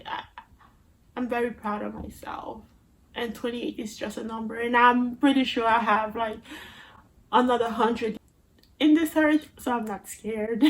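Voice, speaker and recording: A woman's voice: fairly high pitch, low-quality recording. A woman in her twenties with a Haitian Creole accent, talking casually to her viewers in a chatty, playful vlog, recorded on a phone. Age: 20s. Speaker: vlogger. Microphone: phone camera.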